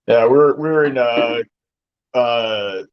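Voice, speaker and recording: A man's voice: mid-range voice, drawn-out words, clean tone, highs cut off. A man hosting a casual chat, jokey and rambling, often laughing. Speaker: streamer, host of a chat.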